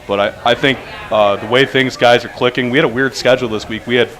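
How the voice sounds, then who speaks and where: A man who coaches high school basketball, talking earnestly and upbeat as a radio guest. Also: steady tone, quick pace, fairly bright tone. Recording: busy bar crowd behind. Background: bar crowd chatter.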